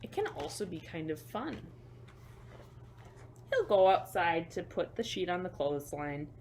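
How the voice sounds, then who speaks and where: A woman reading a picture book to children as a storyteller, expressive, with chatty, simple asides to young listeners. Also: on the low side, measured pace, slight background noise.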